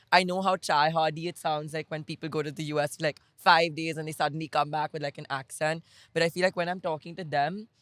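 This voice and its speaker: A woman's voice: deep voice, a bit bright, no room sound. A young woman, chatting casually and thinking aloud with many questions. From India. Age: young adult. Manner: reflective, asks many questions, filler-heavy. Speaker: podcast guest. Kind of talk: casual group chat.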